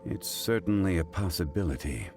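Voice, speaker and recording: A man voicing a calm, analytical gentleman scholar in a video game, speaking in a measured, formal way. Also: deep voice, soft background music.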